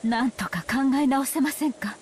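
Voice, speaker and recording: An actress playing a stern, anxious wife of a family head in a Japanese visual novel, speaking formally and insistently. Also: female voice, high voice, fast talker, a loud crowd, close to an everyday voice. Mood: pleading.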